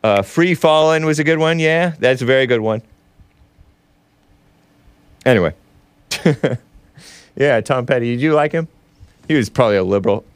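Male host, nasal, rambling and chatty; smooth clean voice, dry close sound. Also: mid-range voice, expressive, moderate pace, long pauses, dry recording. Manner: rambling, laughs often, chats with the live chat. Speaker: show host. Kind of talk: casual opinionated livestream talk.

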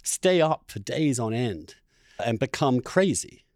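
A male podcast host in animated interview conversation, talking discursively and emphatically, building his points in repeated phrases. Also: mid-range voice, slow, dry recording, studio quality.

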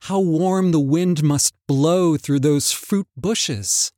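A man voicing Laura, a curious young woman drawn toward forbidden fruit, speaking in raised, excited tones. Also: on the high side, takes it slowly, no room sound, clean studio sound, voice pushed up. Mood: eager. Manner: excited, breathless urging.

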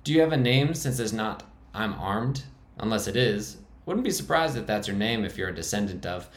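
A man's voice: on the low side, expressive, normal speed, lightly acted. Man voicing a fussy snobbish guy, exasperated, echoey room. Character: fussy opinionated male apocalypse survivor. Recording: roomy echo.

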